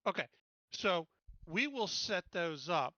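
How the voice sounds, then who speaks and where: Very bright, higher-pitched man chatting. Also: very animated, slow, no room sound, dull audio. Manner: informal, thinking aloud. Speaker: tabletop game player. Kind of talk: casual chat, planning game moves.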